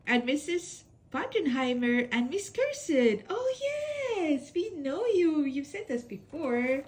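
A young woman, a pet channel host reading holiday mail aloud at home, speaking gently with soft laughs and cooing at her dogs. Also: sits high, sing-song, takes it slowly. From Estonia. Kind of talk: casual vlog narration reading mail aloud. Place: home room with bed, off camera.

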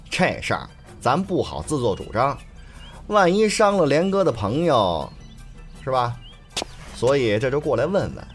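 A man playing a loyal, cocky gang henchman in an audio drama, chatty and colloquial. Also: on the high side.

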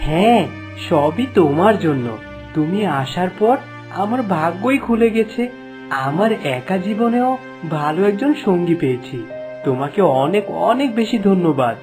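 Woman as kindly brave fisher, lowish voice, reassuring, caring, cartoon fairy-tale acting, clear background music. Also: lively intonation, measured pace. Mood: happy, grateful. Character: kindly, brave fisher companion who shelters a princess. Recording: music behind the voice.

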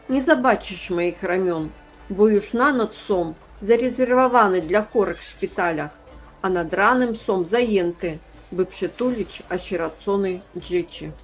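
A gentle, solemn Christ figurine from a religious play, acted by a woman. The delivery is slow and deliberate, pleading with quiet gravity. Faint music plays behind the voice. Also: medium pitch, close to an everyday voice, poor audio. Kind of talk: dramatic religious stage-play acting.

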